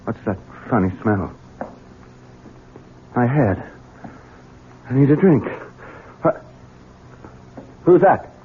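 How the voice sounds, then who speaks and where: An actor playing a callous, bitter, hard-drinking master of the house in an American radio drama, theatrical and sneering. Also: male voice, medium pitch, sing-song, takes it slowly, pauses often. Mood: uneasy. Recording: noisy, tinny old radio broadcast.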